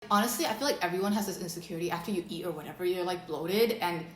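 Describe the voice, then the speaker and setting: A woman with a naturally deep voice tells a story in a loose, chatty way, full of fillers. Her voice sits low, and the room around her gives back a clear echo. A faint hum of ambience runs underneath. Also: racing. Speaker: co-host of a beauty channel talk. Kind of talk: casual chat.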